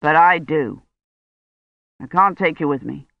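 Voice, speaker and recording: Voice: medium pitch, unhurried, vocal fry, no room sound. A weary older academic acted out by a narrator, a man who is firm and protective. It is an audiobook character in a dry, close, slightly dull recording.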